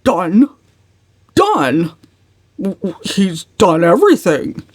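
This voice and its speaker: A woman's voice: lowish voice, big pitch swings, slow pace, no echo, clean studio sound, heavily acted. A woman voicing a pompous, indignant older man in a storybook, with emphatic, scornful delivery. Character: blustering, indignant, pompous old uncle.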